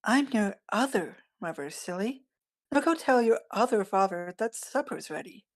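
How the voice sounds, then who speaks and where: A woman's voice: mid-range voice, animated, normal speed, close to an everyday voice. A woman playing a sweetly doting yet sinister otherworldly mother in a screenplay table read, coaxing and playful. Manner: coaxing, playful, sing-song.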